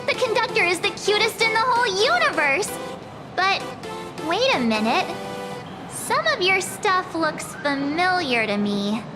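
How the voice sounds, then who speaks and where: Super high bubbly little fangirl, anime game voice, loud music behind. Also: very animated, a little slow, heavily acted. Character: cheerful excitable young girl fan in bunny costume. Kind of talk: anime-style game voice acting.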